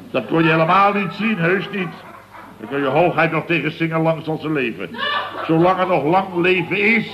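An actor playing a blustering, exasperated middle-aged contractor boss who rambles indignantly in a radio comedy. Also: male voice, on the high side, natural voice. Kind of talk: radio comedy serial acting. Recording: dull, noisy old radio recording.

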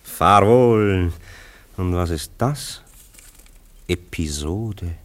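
An actor playing an urbane friend and confidant in a German radio play, dry, probing and gently mocking. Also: male voice, low voice, expressive, very slow, full character voice. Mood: curious, amused. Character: urbane, dryly ironic male friend and confidant.

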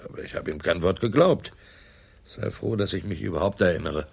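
An actor playing a junior police detective in a radio play, terse and defensive. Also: male voice, deep voice, fairly quick, creaky, breathy edge, exaggerated voice.

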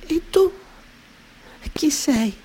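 A tiny wounded sparrow. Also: male voice, very high-pitched, animated, drawn-out words, voice pushed up, full character voice. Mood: timid. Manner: soft chirps between phrases. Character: tiny injured young sparrow, timid and sweet.

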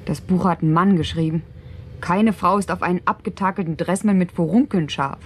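An actress plays a sceptical woman in a radio play, her voice on the low side. She is dry and sardonic, teasing and heckling in natural everyday dialogue. A faint noise sits on the recording. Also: a little fast, lightly acted. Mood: mocking, sceptical.

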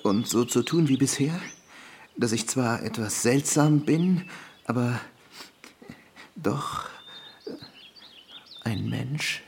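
An actor playing a bitter, tormented ape-human hybrid in a German radio drama, brooding in self-lacerating questions. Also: male voice, medium pitch, slow pace, vocal fry, long pauses, a little hiss or hum. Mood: anxious, pleading.

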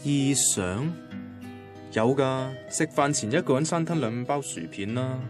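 An actor playing an anxious, defensive young boyfriend from a Cantonese radio drama, conversational and grumbling, with a Hong Kong accent. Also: male voice, medium pitch, expressive, a little slow, natural voice. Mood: reflective, uneasy. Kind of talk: radio drama first-person narration and dialogue. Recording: guitar music behind the voice. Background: guitar music.

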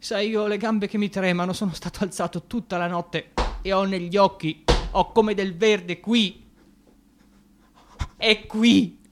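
An actor playing an anguished, exhausted young dreamer in a radio drama, pleading, rambling and repeating himself in self-reproach. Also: male voice, high voice, steady tone, a little slow, pauses often, noisy background. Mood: anguished, faint. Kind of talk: theatrical radio drama acting.

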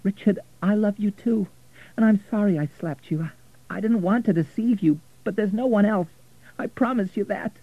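Man putting on an intense, high-pitched young woman, phone-like sound. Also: normal speed, dry recording. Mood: pleading, loving. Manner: intense, pleading. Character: emotional young woman in love, earnest, proud.

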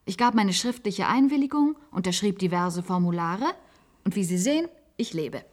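An actress playing a wistful, resigned former secretary in a German science-fiction radio play, confiding in halting phrases. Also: female voice, mid-range voice, animated, natural voice. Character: wistful former secretary, resigned patient living in an isolated institute.

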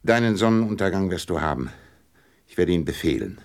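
Elderly monarch, low register, highly animated intonation, pompous, kindly, hesitant, radio play. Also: male voice, fairly quick. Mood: pompous, commanding. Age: older. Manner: pompous, hesitant commands with stammered fillers. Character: pompous, kindly old male king, absolute monarch.

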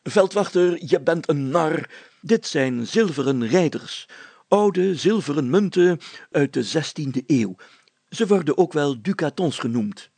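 A man acts out a self-important village mayor from a children's story. His high voice swings widely as he declaims formally and solemnly, quick to take offence. The sound is husky and close. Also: animated, darkish tone, no room sound, exaggerated voice. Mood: irritated. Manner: pompous, declamatory, formal wording. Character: pompous, solemn, easily irritated male village mayor. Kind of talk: children's story character acting.

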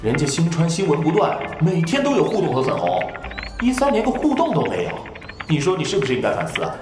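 An impatient, bossy young adviser in a radio drama. He talks fast in a roomy sound, with loud music behind. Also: a little high, huge pitch swings. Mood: impatient, scolding. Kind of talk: Chinese audio drama acting.

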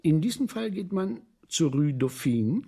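An actor playing a deferential, matter-of-fact little gentleman in a radio play, speaking in a formal, measured, literal way. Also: a little high, sing-song, husky, exaggerated voice. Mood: dutiful, explanatory. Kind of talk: German radio play dialogue.

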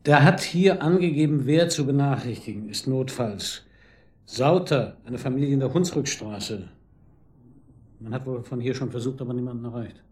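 An actor playing a dry, wry and sceptical police chief inspector in a German radio drama, with a hesitant manner. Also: male voice, medium pitch, normal speed, a little mellow, lightly acted. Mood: businesslike. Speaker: detective.

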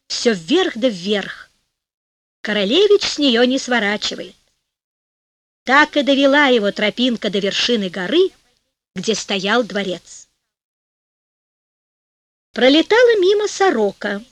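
Female audiobook narrator, high lively voice, slow storybook reading, dry studio sound. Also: no room sound. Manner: lively, voices the fairies and birds with raised pitch.

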